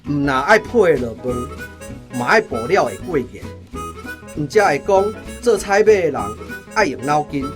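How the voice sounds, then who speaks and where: A man's voice: a little high, animated, moderate pace, music in the background, natural voice. A man acting in a Taiwanese radio drama, playing a brash, wily army food buyer with a Taiwanese accent and a cocky, lecturing manner.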